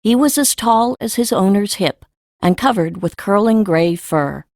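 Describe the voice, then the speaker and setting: Female narrator for fiction audiobook. Medium pitch, scripted storytelling, dry studio recording. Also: lively intonation, measured pace, darkish tone, no echo.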